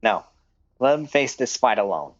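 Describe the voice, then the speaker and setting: A man's voice: on the low side, sing-song, moderate pace, dull audio. A man livestreaming a video game, commenting and reading dialogue aloud. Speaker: game streamer.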